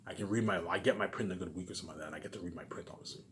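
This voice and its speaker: A man's voice: deep voice, noisy background. A man giving a rambling, informal monologue to his viewers, repeating himself, with emphatic profane bursts that drop into muttered asides. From Canada. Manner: repetitive, halting, with habitual trailing tags. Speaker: vlogger.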